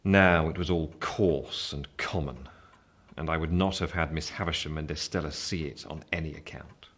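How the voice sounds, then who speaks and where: A man's voice: extremely low pitch, normal speed, a bit bright. An actor playing a reflective grown man narrating his youth, with an English accent, in a dramatic literary style. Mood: wistful, reflective.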